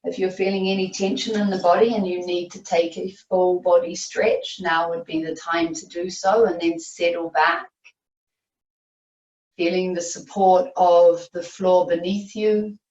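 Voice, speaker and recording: A woman yoga teacher leading a guided meditation, giving soothing, unhurried instructions with long pauses. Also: fairly low pitch, little pitch movement, a little mellow. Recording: roomy, distant, muffled video-call audio.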